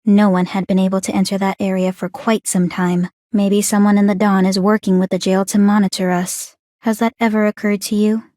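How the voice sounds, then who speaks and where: A woman's voice: medium pitch, flat delivery, brisk, few pauses, warm tone, no echo, clean studio sound, close to an everyday voice. A woman playing an aloof, bookish young warrior maiden in a video game, speaking in a composed, formal, precise and detached way. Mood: detached, calculating. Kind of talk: English video game voice acting.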